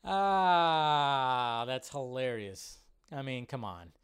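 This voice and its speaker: A man's voice: mid-range voice, extremely slow, a bit bright, clear, resonant tone. A man hosting a live movie fan stream, chatting informally and ramblingly as he reads and answers viewer comments.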